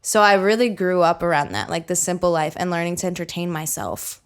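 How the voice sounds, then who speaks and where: A woman's voice: fairly low pitch, brisk, clear, resonant tone. A young woman from the United States, a musician chatting as an interview guest, laughing easily and saying "like" often. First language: Greek. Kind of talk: casual interview chat.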